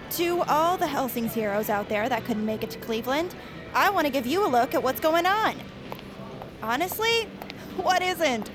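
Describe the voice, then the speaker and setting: An actress playing an upbeat, bossy young female fan and livestreamer in an audio drama, brisk and perky, with music underneath. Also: high-pitched.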